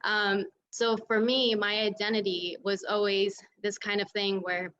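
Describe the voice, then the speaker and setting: A woman's voice: mid-range voice, level tone, a little slow, fairly bright tone. A young American woman on an artists' panel, speaking earnestly about her background. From United States. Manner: earnest, laughs lightly between thoughts. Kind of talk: casual panel talk. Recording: dull lo-fi audio with faint noise.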